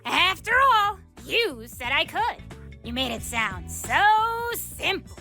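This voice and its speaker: Shrill, brash cartoon villainess. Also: female voice, big pitch swings, slow pace, vocal fry, pushed and bright, soft background music, heavily acted. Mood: mock sincere. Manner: cocky, snappy, sneering. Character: brash, volatile young female cartoon villain creature. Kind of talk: cartoon comic dub acting.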